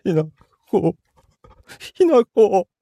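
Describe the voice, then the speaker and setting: A man playing a frightened, helpless cheating lover in a voice drama, with halting, faltering delivery. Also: high voice, sing-song, vocal fry, mellow, dry recording, highs cut off, full character voice. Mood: terrified. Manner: halting, faltering short utterances. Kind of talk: Japanese voice drama acting.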